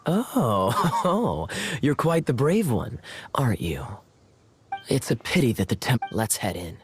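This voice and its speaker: A man playing a suave, teasing knight in a video game, talking in a smooth, playful, mock-courtly way over background music. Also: medium pitch, sing-song, slow pace, a little hiss or hum. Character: suave, teasing, theatrical young male knight.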